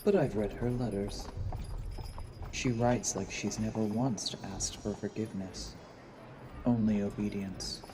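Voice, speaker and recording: A man playing a coldly calculating assassin who poses as a lord, narrating gravely and deliberately in a fantasy audio drama. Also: on the low side, steady tone, background noise, natural voice. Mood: brooding, menacing.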